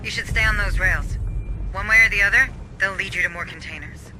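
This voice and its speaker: An actor playing a keen, wry guide who explains and advises a Marine squad in a video game. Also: male voice, high voice, a little fast, bright, forceful tone, a little hiss or hum, poor audio. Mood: wry advising.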